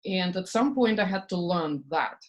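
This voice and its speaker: A woman from Estonia, a podcast guest talking casually and acting out her inner thoughts in lively asides. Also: lowish voice, moderate pace. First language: Russian. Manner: animated, acts out her own inner voice in quoted asides, frequent "like" and soft laughs. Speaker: podcast guest, spiritual business coach. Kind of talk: casual interview talk.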